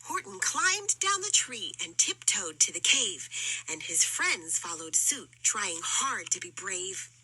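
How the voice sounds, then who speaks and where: A woman narrating a rhyming children's picture book as an expressive storyteller. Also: medium pitch, slow, husky, no echo, poor audio.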